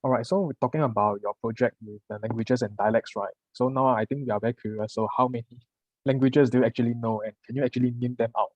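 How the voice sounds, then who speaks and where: A man's voice: medium pitch, steady tone, quick pace, dry recording, lo-fi sound. A man from Singapore interviewing a guest, asking curious, friendly questions.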